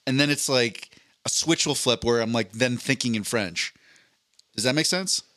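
Podcast co-host, male, mid-pitched voice, jokey and profane, close dry mic. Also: normal speed, sharp tone, no echo. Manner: jokey, swears freely, reads listener stories aloud. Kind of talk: casual podcast chat.

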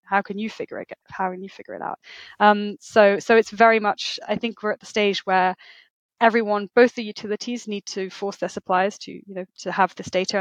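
A woman's voice: mid-range voice, dry recording, rough recording. A woman speaking as an expert guest in a conversational interview, explaining things and often checking with a tag question. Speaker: interview guest, industry editor.

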